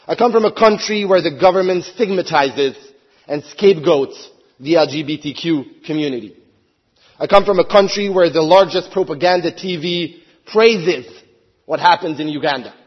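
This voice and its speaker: A man's voice: fairly high pitch, moderate pace, husky, dull audio. A man from Hungary, a member of parliament, giving an earnest prepared speech at a conference and rising to emphatic appeals.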